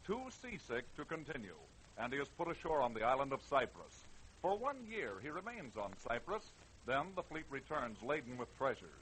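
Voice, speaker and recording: A man's voice: a little high, sharp tone, a little hiss or hum, low-quality recording. An American man hosting and narrating an old radio show in an emphatic, theatrical scripted style. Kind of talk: 1930s-40s dramatic scripted narration.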